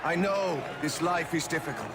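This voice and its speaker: An actor playing a grandiose, falsely humble tyrant king in a game, giving a theatrical political speech with dramatic pauses. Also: on the high side, expressive, over a noisy crowd, very noisy, lightly acted. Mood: brooding, fervent. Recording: loud music under the speech.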